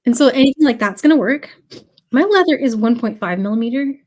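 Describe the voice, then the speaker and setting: Woman, slightly high pitch, nursing a cold; chatty live craft teacher. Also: lively intonation, fast talker, darkish tone. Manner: chatty, many ums, greets viewers by name. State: has a cold. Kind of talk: casual live tutorial.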